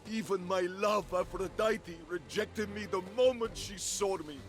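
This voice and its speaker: A man's voice: high-pitched, unhurried, soft background music. A man voicing a fallen war god turned chicken in a video game, with a melodramatic, theatrical delivery. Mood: glum, defeated. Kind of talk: video game cutscene acting.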